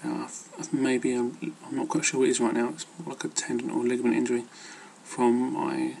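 A man's voice: medium pitch, level tone, brisk, creaky, darkish tone, slight background noise, low-quality recording. A man giving casual, rambling advice as an amateur fitness vlogger, hesitant and loosely worded.

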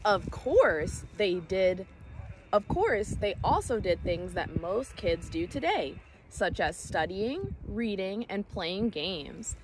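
Young woman reading aloud, lively pitch, outdoor noise. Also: slow pace, poor audio. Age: young adult. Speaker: storytime host reading a children's book aloud. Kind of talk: read-aloud storytelling for children. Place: outdoors in woods by a creek. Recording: clear outdoor background noise, clicks.

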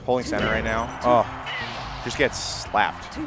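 A man with a naturally loud voice calling a live tournament match, quick to burst into excitement at big moments. Music plays clearly underneath him as he talks. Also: fairly low pitch. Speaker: esports commentator. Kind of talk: live play-by-play commentary. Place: tournament venue.

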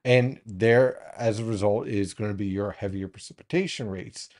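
A man's voice: on the low side, moderate pace. A man in his thirties giving a weather forecast from a small home studio, explaining steadily and talking straight to his viewers. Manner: steady explanatory delivery, addresses viewers directly.

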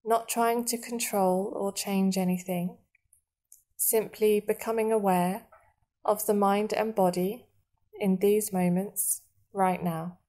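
A woman guiding a meditation in a soothing, measured manner, leaving long pauses between short phrases. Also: medium pitch, steady tone, dark tone. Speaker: meditation guide. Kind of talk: guided body scan meditation narration.

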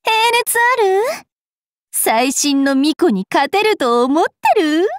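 A woman playing a haughty, cruel young fairy priestess in an anime game, sneering and taunting with a rough mouth. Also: sits high, expressive, slow pace, dry recording, clean studio sound. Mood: gleeful battle taunts.

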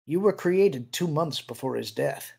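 Man playing a calm, weary, gravelly senior officer. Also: on the high side, exaggerated voice. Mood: gentle, grave. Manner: quiet, measured, gentle authority.

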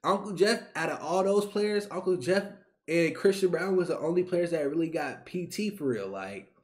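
A man in his twenties, a YouTube creator, talking to camera in an animated, slangy rant, repeating himself, from a small bedroom on a webcam mic. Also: a little high, moderate pace. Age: 20s. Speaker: YouTube sports reaction creator. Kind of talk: excited casual rant to camera.

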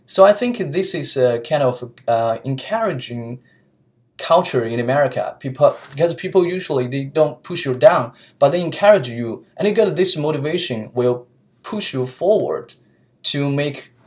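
A man's voice: fairly high pitch, animated, normal speed, darkish tone. Earnest young man with a Chinese accent. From China. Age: young adult. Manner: earnest, searching for words, frequent fillers and restarts. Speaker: student interviewee. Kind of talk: mock job interview. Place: small office room with whiteboard, indoors. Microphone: laptop webcam mic.

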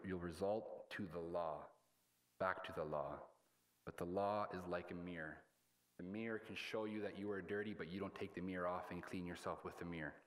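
A man in his thirties preaching a sermon as a pastor, in a halting, plain-spoken, colloquial way. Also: sits low, a bit bright. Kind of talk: sermon with scripture reading. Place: indoors at a church pulpit.

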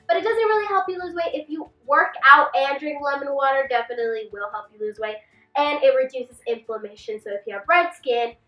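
A woman's voice: sits high, bright, forceful tone. A young woman chatting through beauty tips to camera, a little congested from a cold and with a slight lisp from a retainer. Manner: chatty, gestures a lot while seated. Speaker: beauty vlogger. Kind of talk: casual tips vlog. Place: small bedroom set with clothes rack. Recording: roomy, slightly distant camera mic.

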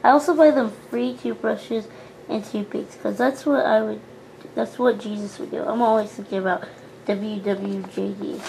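A woman's voice: mid-range voice, fairly even intonation, normal speed, creaky, darkish tone, slight background noise, close to an everyday voice, low-quality recording. A young woman voicing a prim, churchy teenage girl doll who talks in an earnest, boastful way. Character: prim pious teen girl doll, goody-two-shoes.

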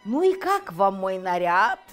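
Vain fat male drake, pompous, boastful dandy, animated intonation, storybook character, done by a woman. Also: mid-range voice, a little slow, faint music behind. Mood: self-important. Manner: pompous, showing off.

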